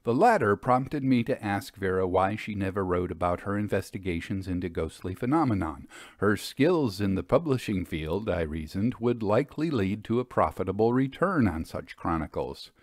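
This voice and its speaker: A man narrating a scripted story in a measured, expressive, bookish manner. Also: lowish voice, no echo, studio quality.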